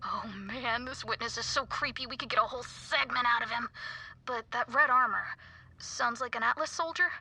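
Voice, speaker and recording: A woman voicing an excitable young true-crime podcaster in a video game, with a raspy voice and theatrical, breathless delivery. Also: fairly high pitch, normal speed, exaggerated voice. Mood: eager, insistent. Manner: theatrical, breathless dramatic narration.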